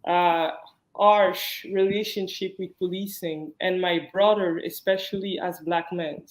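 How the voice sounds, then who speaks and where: A young adult guest, talking earnestly and a little haltingly about personal experience in an interview. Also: medium pitch, fairly even intonation. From Belgium. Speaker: guest, social justice activist. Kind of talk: interview conversation. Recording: roomy echo.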